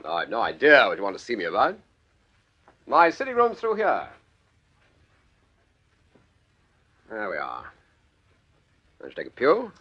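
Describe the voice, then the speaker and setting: An actor playing a bluff, evasive major in a British radio drama, with an upper-class English accent and a clipped, affable, blustering manner. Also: male voice, on the low side, huge pitch swings, normal speed, breathy edge, muffled recording, full character voice. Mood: affable, guarded. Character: bluff, evasive English major, smooth-talking male con man.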